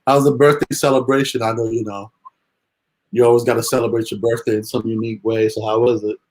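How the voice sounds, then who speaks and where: Man, co-host, relaxed, slangy, casual chat. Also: medium pitch, fast, rough recording.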